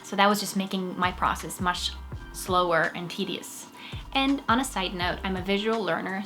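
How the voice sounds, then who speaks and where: A young woman from Norway with a Norwegian accent, chatting casually and upbeat to camera about her own experience, with self-deprecating asides. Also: medium pitch. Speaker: vlogger, actress. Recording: music playing behind. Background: music.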